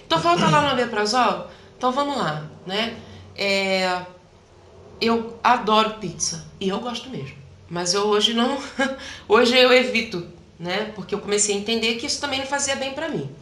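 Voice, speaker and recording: A woman in an interview who explains how the body works in an animated, talkative way, slipping into little acted-out quotes. Her voice sits a bit high and sounds bright. The room adds a clear echo. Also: moderate pace. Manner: animated, acts out quoted voices, laughs often. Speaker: health practitioner guest.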